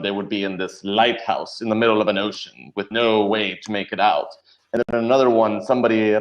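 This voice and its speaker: A man chatting in an interview as a guest drama writer, explaining and telling stories in a reflective way. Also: lowish voice. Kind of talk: casual interview chat. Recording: dull lo-fi audio.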